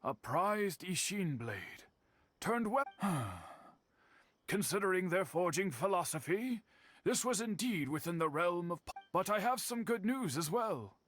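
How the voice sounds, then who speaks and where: A man's voice: high voice, very animated, a little slow, a bit bright, exaggerated voice. A man voicing a genial, articulate young samurai official in an anime game. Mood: thoughtful, pleased.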